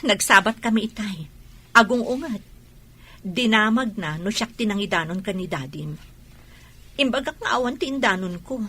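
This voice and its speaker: A woman's voice: medium pitch, expressive, moderate pace, long pauses, sharp tone, slightly rough. A woman playing an aggrieved young mother and daughter-in-law, firmly reasoning and defending herself in radio drama style. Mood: explaining. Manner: firm, reasoning, restrained reproach.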